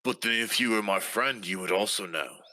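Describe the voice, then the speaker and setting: Guy roleplaying at a game table, very sharp bright voice, kinda low, blunt and wry, dry sound. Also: normal speed, dry recording. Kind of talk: in-character improvised roleplay.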